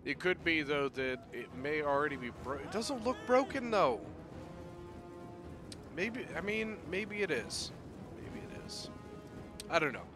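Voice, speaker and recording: Man, game battle commentator, slightly higher pitch, voice a bit off after illness, chatty, excitable. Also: measured pace, pauses often, over music. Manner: chatty, excitable, bursts of loud exclamation. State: voice a little off from recent illness.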